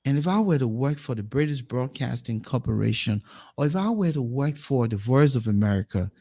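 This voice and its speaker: A man's voice: mid-range voice, expressive, brisk, mellow. A Liberian man with a Liberian accent hosting a current affairs talk show, speaking emphatically and repeating words as he builds his points.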